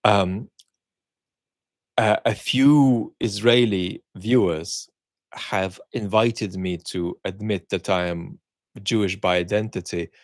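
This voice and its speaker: A middle-aged man, born in the Soviet Union with Russian as his first language, musing aloud as he answers viewer questions, pausing often with ums. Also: lowish voice, fairly even intonation, no room sound, clean studio sound. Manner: measured, thinking aloud with many ums and pauses. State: unwell, speaking while cared for. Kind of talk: reflective solo chat.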